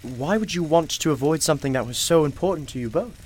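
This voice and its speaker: A performer in a radio play playing a courteous, gently probing keeper of a mysterious magical shop. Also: mid-range voice, lively intonation, fast, breathy edge. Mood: puzzled, probing. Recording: music playing behind the voice. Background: music.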